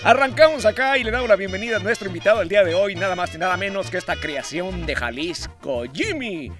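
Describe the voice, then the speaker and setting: A man's voice: sits high, animated, fast talker, music in the background. A man hosting outdoors with a handheld mic, joking and chatting in a playful, wordy, folksy way. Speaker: host. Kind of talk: upbeat show hosting with jokes. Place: outdoor fairground patio.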